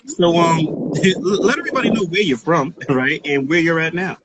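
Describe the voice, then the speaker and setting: A man's voice: on the high side, lively intonation, normal speed. A man hosting a casual livestream chat over a video call, laid-back, laughing often and repeating his words. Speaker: host. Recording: noisy video call audio.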